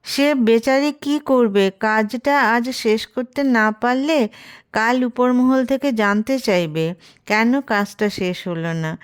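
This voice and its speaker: A woman reading a short story as scripted narration, expressive and gently wry. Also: fairly high pitch, clear, resonant tone.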